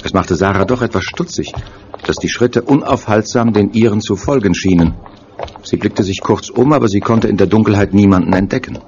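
Male narrator for audio thriller, low voice, scripted dramatic delivery, slightly muffled with faint noise. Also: a little fast, darkish tone. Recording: dull sound with faint noise.

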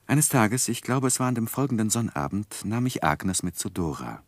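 An actor playing an earnest, tender gentleman who narrates his life in a gentle, reflective, expressive way in a 1960s German radio play. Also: lowish voice, normal speed, no room sound. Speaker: first-person narrator and lead.

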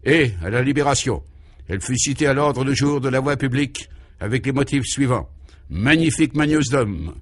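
A man playing a devoted, deeply moved male admirer in a comic radio serial, emphatic and ceremonious. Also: lively intonation, moderate pace, lightly acted. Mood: moved, solemn. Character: tearful, devoted male admirer reading a solemn citation.

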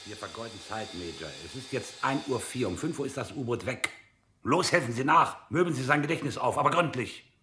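An actor playing an impatient, domineering secret police captain in a radio drama, giving curt, gruff commands as an interrogator. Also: male voice, medium pitch, expressive, brisk, pushed and bright, slight background noise.

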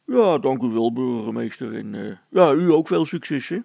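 Man acting a gravelly scheming village constable. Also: mid-range voice, animated, moderate pace, warm tone, no room sound. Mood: flustered, servile. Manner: conspiratorial, stammering hesitations. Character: scheming village constable, blustering, flustered before his superior.

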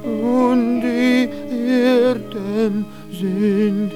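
Sad bard singing super slow plaintive lament, loud music behind. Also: female voice, a little high, flat delivery. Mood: mournful. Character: mournful singing bard of a ruined kingdom. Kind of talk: sung lament in a radio drama. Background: loud musical accompaniment.

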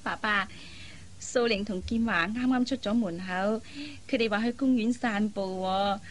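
A woman playing a kind, persuasive young elder sister in a Cantonese radio drama, coaxing and teasing in drawn-out phrases. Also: mid-range voice, slight background noise, natural voice. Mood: playful, fond.

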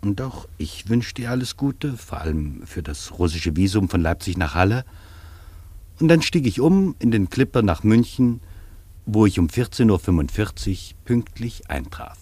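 A thoughtful male travel writer telling his journey in a radio play. His voice is fairly low and a bit rough-edged. The sound is dry and close, with literary phrasing. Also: animated, moderate pace, mellow, dry recording. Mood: observant. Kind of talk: literary first-person radio narration.

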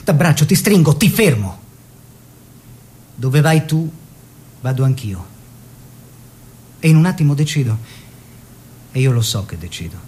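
An actor playing a devoted young painter husband, earnest and protective, in a measured theatrical delivery. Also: male voice, mid-range voice, expressive, a little mellow, natural voice. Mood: ardent. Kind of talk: theatrical monologue and letter reading.

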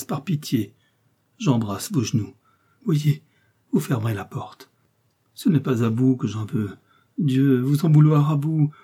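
A man's voice: mid-range voice, mellow, husky, voice pushed up. A man voicing a young, scheming woman prisoner who feigns pious, desperate anguish in a theatrical, pleading manner for a storybook.

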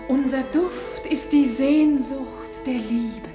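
High, echoing, yearning nature spirit. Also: female voice, takes it slowly. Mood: yearning, tender. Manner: yearning, lyrical, incantatory. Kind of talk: German radio play acting. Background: music.

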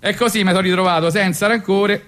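A man's voice: high voice, fairly even intonation, fast, a bit bright. A man in his forties with a Roman accent telling ironic stories on the radio, colloquial and conversational, with a wry, mock-philosophical manner. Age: 40s. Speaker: radio show host and storyteller. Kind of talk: ironic spoken storytelling, conversational.

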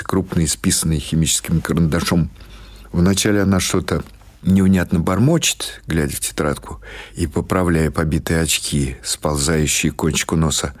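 A man reading literary prose as an audiobook narrator, in a measured, expressive storytelling manner. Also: sits low.

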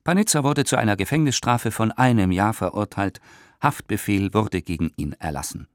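A man reading historical letters and documents for a radio play in a theatrical, measured manner, close to a studio microphone. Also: lowish voice, no echo.

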